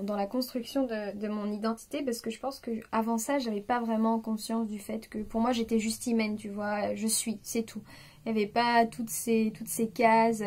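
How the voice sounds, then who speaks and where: A woman's voice: fairly high pitch, a little mellow. A woman in her late twenties talking spontaneously to the camera, hesitant and confiding, with many fillers and restarts. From France. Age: 20s. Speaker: vlogger. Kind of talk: spontaneous personal reflection.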